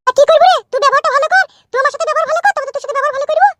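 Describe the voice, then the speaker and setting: A performer voicing a combative young village wife in a cartoon comedy, sharp-tongued, scornful and quarrelsome. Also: very high voice, racing, very noisy. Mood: scornful, furious. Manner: scolding, quarrelsome. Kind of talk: Bengali cartoon comedy dialogue.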